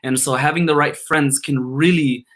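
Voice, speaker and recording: A man with a slightly raspy voice introducing himself and his poem over a video call. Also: fairly high pitch, steady tone, normal speed, a bit bright. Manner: introduces himself with a formal Arabic opening. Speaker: poet.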